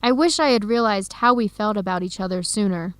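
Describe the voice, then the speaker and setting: An actress playing an earnest young researcher who confides carefully and clearly in an English-learning radio drama. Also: female voice, mid-range voice, fairly quick, no room sound, lightly acted. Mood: sad, hopeless.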